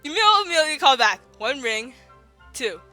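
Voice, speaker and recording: Giggly woman reading a story, real high voice bouncing around, faint music. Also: sing-song, brisk, bright, forceful tone. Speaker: reader. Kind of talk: amateur fan-fiction dramatic reading. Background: faint classical music.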